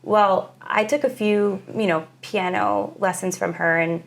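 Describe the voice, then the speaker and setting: A young woman with a very low voice, a guest musician talking in a casual, halting interview with lots of fillers. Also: fairly even intonation. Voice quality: very low female voice. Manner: reflective, halting, many fillers.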